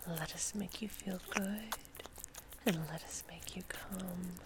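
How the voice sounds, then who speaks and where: A woman in sexually explicit adult ASMR audio, moving between breathy whispers and a soft, cooing, soothing voice. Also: lowish voice, steady tone, takes it slowly, heavy background noise.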